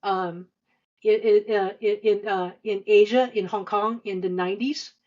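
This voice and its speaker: Female podcast guest, fifties, hesitant conversational delivery, middle-pitched voice, dull recording. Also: steady tone, darkish tone. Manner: halting, hesitant delivery with frequent stammered repeats and long filler runs. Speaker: podcast guest, senior finance executive. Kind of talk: conversational interview answers, career recollection.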